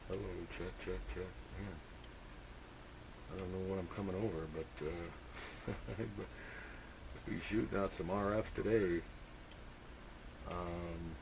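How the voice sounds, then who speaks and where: A man's voice: low voice, background noise. A man chatting casually and technically as an amateur radio operator on two-way radio, thinking aloud as he goes.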